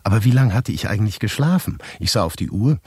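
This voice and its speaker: Radio drama lead, uneasy pipe-smoking bachelor: lowish husky voice, dry polite manner, animated inner monologue. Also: male voice, quick pace, no echo. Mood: relieved, bewildered. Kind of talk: literary radio drama narration.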